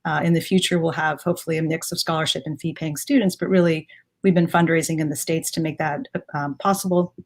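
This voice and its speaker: A woman's voice: sits low, fairly even intonation, fast talker. A woman from Ethiopia, a guest on a live online panel, speaking in a thoughtful way with many fillers. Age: adult.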